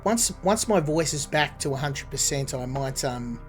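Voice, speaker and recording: A man streaming a game and chatting casually, rambling and correcting himself, his voice still a little rough from a cold. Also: mid-range voice, expressive, moderate pace, soft background music. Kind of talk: casual live gameplay commentary.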